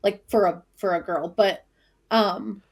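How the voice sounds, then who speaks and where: A woman's voice: mid-range voice, fairly even intonation, unhurried. A woman from Maine with a Maine accent, a teacher co-hosting a casual chat podcast, chatty and often talking over her co-host.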